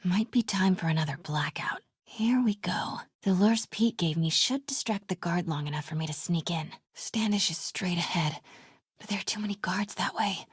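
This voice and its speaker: A woman playing a determined, brave young reporter in a video game, breathy and often hushed. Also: fairly low pitch, normal speed, dry recording, clean studio sound. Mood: hushed, tense. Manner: hushed, often whispering to herself.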